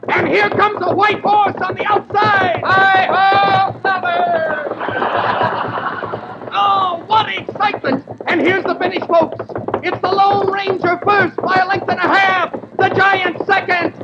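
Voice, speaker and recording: An excitable American radio sportscaster with a very high, urgent voice, calling play by play. It is 1930s radio comedy. The sound is dull and full of heavy static. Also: male voice, slow, exaggerated voice. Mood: frantic, thrilled. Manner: urgent, rising to shouts. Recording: scratchy, muffled 1930s radio broadcast.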